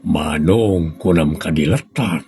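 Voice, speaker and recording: A man's voice: very deep voice, slow pace, warm tone, slightly rough, no room sound, voice pushed down, full character voice. A man voicing a teasing older man in a radio drama, bantering and protesting with a Filipino accent. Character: teasing older man insisting on being called big brother.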